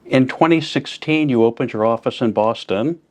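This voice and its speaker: A man's voice: medium pitch, normal speed, darkish tone. A man hosting an interview podcast, asking plainspoken questions in a friendly way. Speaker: podcast host.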